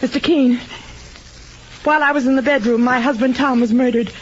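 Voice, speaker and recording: A woman's voice: high-pitched, fairly even intonation, fairly quick, close to an everyday voice. A woman playing an anxious, devoted wife in melodramatic old-time radio drama style. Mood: distraught. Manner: earnest, melodramatic. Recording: dull, noisy old radio recording.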